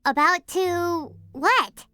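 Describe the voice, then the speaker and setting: Tiny floating fairy companion for an anime game: childlike, piercingly bright, cheeky, music underneath. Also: female voice, very slow, no room sound, heavily acted. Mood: curious. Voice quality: squeaky, childlike. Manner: bubbly, cheeky, exclamatory.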